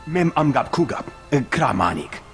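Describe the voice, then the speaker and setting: A man voicing a gruff alien who speaks an invented guttural tongue in a raspy, gravelly voice, as in a video game cutscene. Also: medium pitch, big pitch swings, moderate pace. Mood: gruff, urgent. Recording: long reverb, music underneath.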